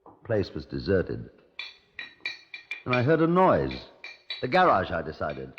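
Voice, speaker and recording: An actor playing a courteous, wry Scotland Yard inspector, narrating his case in a measured radio crime drama style. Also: sits low, wildly swooping pitch, a little hiss or hum, exaggerated voice. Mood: brooding, deliberate. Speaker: detective narrator. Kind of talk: 1950s radio crime drama, first-person narration and dialogue. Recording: dull, muffled 1950s radio recording.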